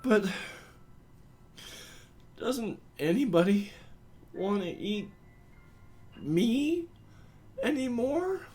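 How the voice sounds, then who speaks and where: Man voicing needy storytelling character, raised pitch, halting, embarrassed, pleading, apologetic. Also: sing-song, a little mellow, husky, full character voice. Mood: tearful, pleading. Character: hurt, needy human embarrassed by own tearful feelings.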